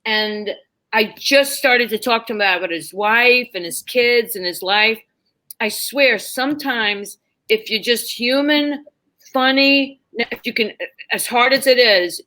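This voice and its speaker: A woman's voice: a little high, unhurried, sharp tone. A woman telling stories conversationally, candid and emphatic, acting out bits of dialogue as a comedian guest. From New York, United States. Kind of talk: animated conversational storytelling.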